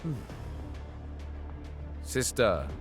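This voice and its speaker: A man's voice: drawn-out words, over loud music. A man playing a bitter, scheming young alien prince in an audio drama, with theatrical, disdainful delivery that savors cruelty. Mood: brooding, disdainful. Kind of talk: dramatic first-person narration and dialogue, audio drama.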